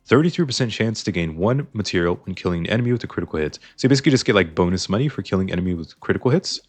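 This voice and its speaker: Chatty low-voiced man explaining gameplay. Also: animated, rapid-fire, husky. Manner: chatty, explains choices while playing. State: slightly strained voice. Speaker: gaming YouTuber. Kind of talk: casual game commentary.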